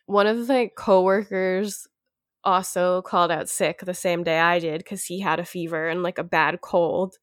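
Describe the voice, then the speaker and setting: A young woman from the United States with a congested, nasal voice, short of breath, talking slowly and quietly in a casual chat. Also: on the low side, fairly even intonation, no room sound, studio quality. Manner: talks slower and quieter, winded. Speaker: sick friend.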